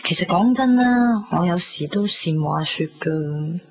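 A woman's voice: fairly low pitch, expressive, unhurried, darkish tone. A woman playing a bubbly, loyal young best friend in a Hong Kong Cantonese radio drama, chatty, gushing and teasing. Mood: chatty, persuasive. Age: young adult. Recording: dull 1990s radio recording, background noise.

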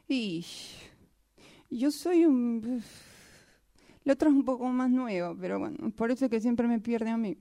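A woman voicing a weary, overused sock in a halting, repetitive, plaintive radio-theatre monologue. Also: on the high side, warm tone, lightly acted. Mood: plaintive, chatty. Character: weary, overused female sock complaining about its owner.

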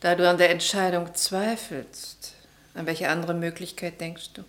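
Composed, lower-voiced, knowing woman, played by an actress. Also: flat delivery, unhurried, lightly acted. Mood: composed, pensive. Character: steady, pragmatic woman confidante named Julia. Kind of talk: German radio play acting.

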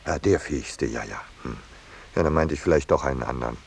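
An actor playing a courteous, wry landscape painter in a 1950s radio play, dry and ironic, with some hesitation. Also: male voice, rumbling low, quick pace, a little hiss or hum. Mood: flattering, evasive. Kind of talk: 1950s German radio play, narration and dialogue.

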